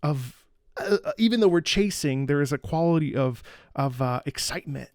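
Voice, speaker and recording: A man's voice: on the high side, expressive, moderate pace, dry recording, clean studio sound. An enthusiastic man commentating on video game music in a live stream reaction, breaking into sung syllables to imitate rhythms. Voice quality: trained opera singer's voice. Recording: music behind the voice.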